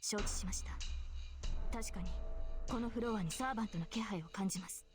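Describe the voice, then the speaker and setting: A woman's voice: medium pitch, rapid-fire, natural voice. An actress playing a solemn, formal and loyal young female knight in an anime visual novel. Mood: formal, composed. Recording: game dialogue over background music.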